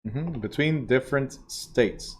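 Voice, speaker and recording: A man's voice: medium pitch, slow pace, slightly rough. A middle-aged man, the director of a real estate school, lecturing a live class in a casual, chatty way and checking in with "right?". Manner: casual, chatty, asks the class questions and says "right?" a lot.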